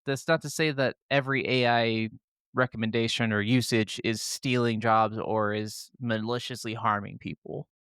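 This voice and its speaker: A man's voice: medium pitch, steady tone, moderate pace, fairly bright tone, clean tone, dry recording, studio quality. A man co-hosting a gaming podcast, giving his opinions in casual conversation. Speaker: podcast co-host.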